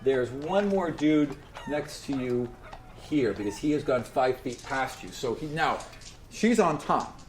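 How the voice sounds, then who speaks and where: A man's voice: medium pitch, animated, unhurried. A man running a tabletop role-playing game, narrating off the cuff in a casual, sweary way. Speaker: game master. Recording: echoey room with music behind. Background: music.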